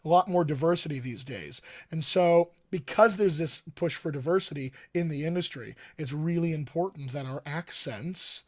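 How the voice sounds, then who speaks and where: A man with a general American accent and a slightly high voice teaches in an informal live-stream style. He speaks as a coach and host, stressing key points emphatically and repeating them. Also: normal speed, hardly any pauses. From Colorado, United States. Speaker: dialect coach, session host.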